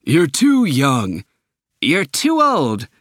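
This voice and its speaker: A man doing a bullying company boss with a big cold smile, speaking in a raised, dry voice. His politeness is icy and turns quickly to barking. He sounds like a storybook villain. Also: fairly high pitch, wildly swooping pitch, dry recording, pitched above the performer's own voice, exaggerated voice. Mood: coldly smug. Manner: icy politeness breaking into shouting.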